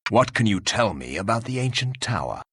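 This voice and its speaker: Husky, blunt warrior hero. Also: male voice, fairly low pitch, sing-song, fairly quick, a bit bright, dry recording, studio quality, heavily acted. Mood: stern, businesslike. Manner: blunt, terse, rude. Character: blunt, impatient resurrected warrior, gruff male hero. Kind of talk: fantasy video game dialogue acting.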